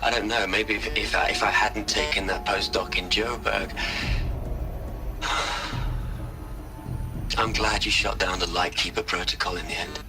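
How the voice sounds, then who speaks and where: A slow, deep-voiced grieving scientist. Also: male voice. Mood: tender grief. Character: grieving male scientist, tender, hesitant, reverent. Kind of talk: dramatic game voice acting, recorded eulogy. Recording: music behind the voice.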